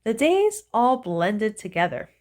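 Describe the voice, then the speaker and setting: Slow, lively woman reading a picture book. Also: on the high side. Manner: slow, animated storytelling with playful emphasis. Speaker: children's book reader. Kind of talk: expressive read-aloud of a picture book.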